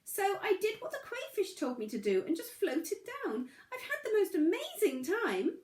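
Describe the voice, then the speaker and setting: A woman's voice: very high-pitched, wildly swooping pitch, normal speed, a little mellow, roomy sound, pitched above the performer's own voice, exaggerated voice. A woman voicing a small, innocent, eager pebble in a children's story, earnest and wide-eyed. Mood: excited.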